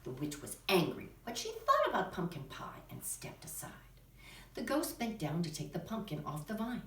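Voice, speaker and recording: A woman's voice: fairly low pitch, wildly swooping pitch, moderate pace, a bit bright. A woman drama teacher presenting and narrating for children's theater, in instructional talk and storytelling. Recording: echoey room, distant microphone.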